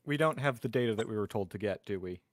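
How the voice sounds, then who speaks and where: A man's voice: lowish voice, fast, dry recording. A man who plays a tabletop game, casually describing what his character does.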